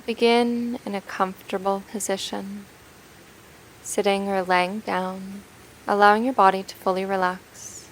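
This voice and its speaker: A woman narrating a guided meditation in a gentle, soothing, unhurried way, leaving long pauses between phrases. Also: mid-range voice, slight background noise, poor audio.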